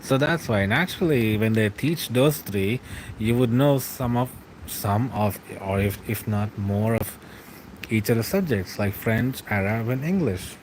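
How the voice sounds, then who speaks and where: A young man streaming late at night from home, answering live chat in a relaxed, rambling way, often laughing and trailing off. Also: lowish voice, measured pace, slight background noise, low-quality recording.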